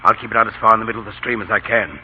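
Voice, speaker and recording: A man's voice: on the low side, fairly even intonation, very fast, a little hiss or hum, close to an everyday voice. An actor playing a resolute, earnest army officer and devoted father in an old-time radio drama. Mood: tense, resolute. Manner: commanding, earnest. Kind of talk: 1930s radio drama acting. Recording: tinny old radio broadcast with music.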